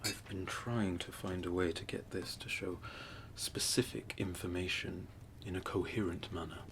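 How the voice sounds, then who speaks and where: A man playing a calm, scholarly sorcerer mentor in an ASMR fantasy roleplay, speaking softly and gently in measured, near-whispered phrases. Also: deep voice, slight background noise. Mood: musing, gentle. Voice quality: soft, breathy, often near whisper. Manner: slow, measured, gentle, explanatory. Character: calm scholarly male sorcerer mentor showing magical relics.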